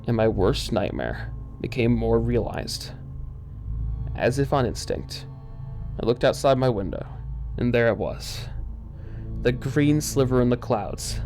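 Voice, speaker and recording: A man narrating a first-person horror story in a dramatic, tense, expressive way with pauses for suspense. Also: medium pitch. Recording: eerie music under the voice. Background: background music.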